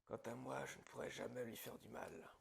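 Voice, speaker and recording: A man playing a calm, gloating psychopathic killer in an ASMR horror roleplay, husky and gravelly, often dropping toward a whisper. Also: mid-range voice, heavily acted. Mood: wistful, obsessed. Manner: slow, pausing, quietly menacing storytelling. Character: calm, gloating male psychopath killer confessing his story.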